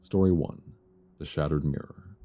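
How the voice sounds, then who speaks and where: Guy reading a fairy tale asmr style, deep relaxed voice, soft and measured, right up on the mic. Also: soft background music. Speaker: storyteller. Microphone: close binaural microphone.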